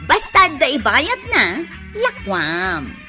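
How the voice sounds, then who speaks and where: An actress playing a loud, exasperated village woman in a comedic radio drama, with drawn-out exclamations. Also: high voice, huge pitch swings, music in the background, heavily acted.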